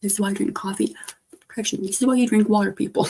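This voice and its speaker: A woman livestreamer, hoarse, chatting casually and rambling to her viewers, often trailing off. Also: medium pitch, fairly even intonation, fast. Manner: rambling, trailing off, soft-spoken.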